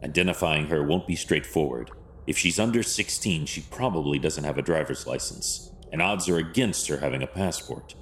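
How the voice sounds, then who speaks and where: An actor playing a grim, stoic masked vigilante detective in an audio drama, speaking in clipped, formal, deadpan phrases. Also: male voice, a bass voice, normal speed, slight background noise. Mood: analytical, stoic.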